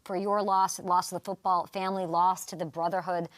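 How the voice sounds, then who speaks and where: A woman's voice: fairly low pitch, little pitch movement, brisk, no echo. A woman hosting a casual sports talk show, chatty and teasing as she interviews a guest. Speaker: host.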